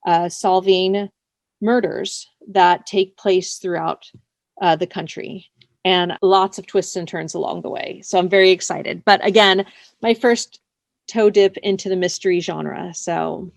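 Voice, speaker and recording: A woman's voice: fairly low pitch, gravelly, dry recording. Woman author chatting in a dry recording. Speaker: interview guest, author. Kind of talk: casual interview talk.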